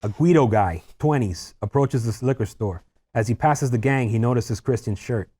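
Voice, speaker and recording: A man's voice: mid-range voice, moderate pace, dark tone, breathy edge. A man reading stage directions aloud as narrator at a screenplay table read.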